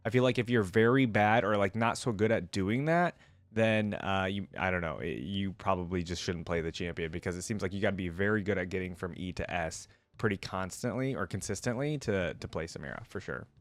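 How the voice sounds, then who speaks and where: A man's voice: deep voice, expressive, brisk, dry recording. A man giving casual, musing off-the-cuff commentary as a reaction video host. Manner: musing, hedging, short interjections. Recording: game video music behind voice.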